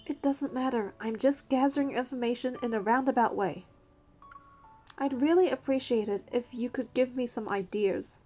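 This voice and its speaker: Woman voicing young hunter heroine in a game, earnest n determined, highish mellow voice, bit of music behind. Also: normal speed, natural voice. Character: earnest young woman hunter narrating her own story. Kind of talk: scripted game dialogue and first-person narration.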